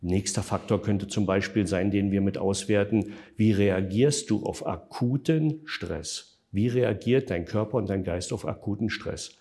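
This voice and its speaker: A man answering interview questions in a measured, factual way, with frequent hesitation fillers. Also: on the low side, warm tone, breathy edge. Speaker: interview guest, developer of an online tool.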